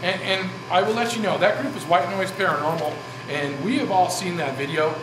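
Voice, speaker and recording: A man's voice: sits high, a little fast. A man hosting a casual on-location interview in a bantering, joking way. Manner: jokey, bantering. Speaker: host of a paranormal investigation show. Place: inside an old saloon. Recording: echoey room with background noise.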